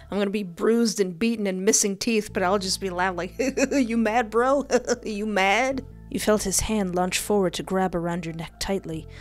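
A young woman does a let's play at a close microphone, reading game narration aloud. She is playful and sassy, with a medium-pitched voice over faint music. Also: steady tone, moderate pace, pauses often, a little mellow. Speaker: streamer, narrator. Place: indoors at a desk. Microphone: headset and close desk microphone.

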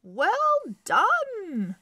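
A woman reading the part of a firm, encouraging female soccer coach from a children's storybook, with brisk, emphatic calls. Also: extremely high pitch, wildly swooping pitch, bright, forceful tone, no echo, clean studio sound, pitched above the performer's own voice, full character voice.

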